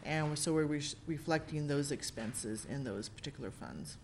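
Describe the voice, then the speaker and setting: A woman's voice: sits low, expressive, normal speed, darkish tone, slight background noise. A woman acting as a city finance officer, explaining a budget amendment at a public meeting in a plain, matter-of-fact way.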